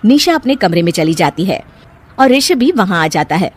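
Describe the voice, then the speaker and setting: A woman's voice: fairly low pitch, sing-song, normal speed. A woman narrating a scripted story as its storyteller.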